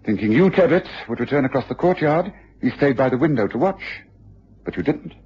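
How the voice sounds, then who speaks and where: A keen detective in a radio drama, with a very expressive, slightly rough voice. He is deliberate, precise and in command. The recording is dull, like a radio broadcast. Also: medium pitch. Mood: assured, deductive. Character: keen, composed male English detective, probing and authoritative.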